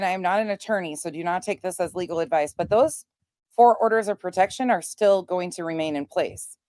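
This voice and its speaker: An American woman hosts a casual online commentary in a medium-pitched voice. She explains things step by step and leans hard on key points, repeating them for emphasis. The sound is dry, with very little room in it. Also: darkish tone, no room sound. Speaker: host of online commentary show. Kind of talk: casual commentary, reading documents aloud.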